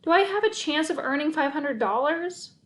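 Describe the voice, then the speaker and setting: Casting: woman reader as eager storybook boy, high voice, excitable, quick to grumble. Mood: grumbling. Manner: excitable, complaining. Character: eager, grumbling boy desperate to earn money.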